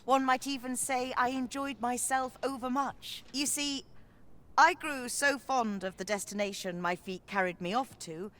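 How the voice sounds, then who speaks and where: A friendly fantasy-game townswoman, chatting familiarly in old-world phrases. Her voice is high and her manner talkative. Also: a bit bright.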